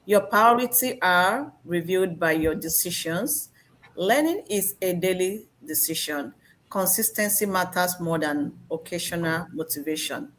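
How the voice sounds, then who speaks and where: A woman from Nigeria, a nurse, introducing herself on an online panel and reading out discussion points clearly and politely. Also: on the low side, expressive, unhurried, fairly bright tone, low-quality recording. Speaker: panel guest, registered nurse.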